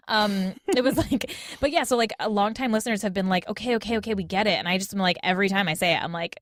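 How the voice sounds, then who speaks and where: A woman's voice: a little high, dry recording, clean studio sound. A woman in her thirties chatting casually as a podcast guest, acting out little exchanges and often saying "like". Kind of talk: casual panel chat.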